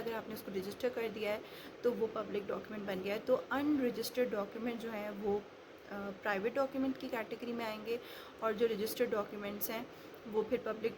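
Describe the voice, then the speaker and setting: A woman giving an explanatory law lecture, taking it step by step and switching in English terms. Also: medium pitch. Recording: clearly noisy background.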